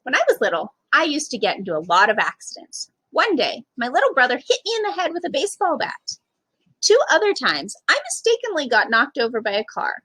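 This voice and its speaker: A woman's voice: high voice, fairly quick, husky, no echo, rough recording. A woman reading a children's picture book aloud as a storyteller, expressively acting out the dialogue. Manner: animated, acts out dialogue.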